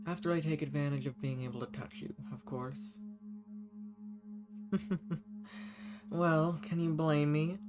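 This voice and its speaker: A man acts out a seductive banished villain, an ancient and lonely schemer from a roleplay audio drama. He talks intimately to one listener, flirtatious and teasing with a theatrical turn. His voice sits at a middling pitch over clearly audible music. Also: close to an everyday voice.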